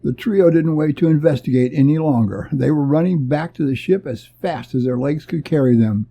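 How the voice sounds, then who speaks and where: A man's voice: on the high side, normal speed, gravelly, mellow. A man narrating an adventure serial in a suspenseful, theatrical manner. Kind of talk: dramatic serial story narration.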